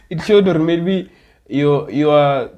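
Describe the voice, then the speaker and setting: A man's voice: a little high, takes it slowly, darkish tone. A South African man hosting a podcast, opening with showy, hypey welcomes and teasing his guest playfully. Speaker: podcast host. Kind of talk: casual interview chat.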